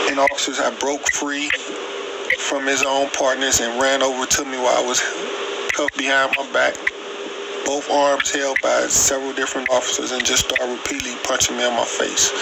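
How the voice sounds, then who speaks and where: A man's voice: mid-range voice, vocal fry. A man calling in a complaint by phone, recounting incidents with repetition and emphatic wording. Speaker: caller making a complaint. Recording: noisy, radio-like phone call. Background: loud background noise.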